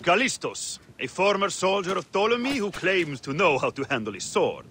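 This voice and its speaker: An actor playing a jaded, crude gladiator arena master in a video game, with a sardonic, theatrical, dismissive way of talking. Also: male voice, sits high, very animated, normal speed, fairly bright tone, a little hiss or hum. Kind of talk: video game character acting.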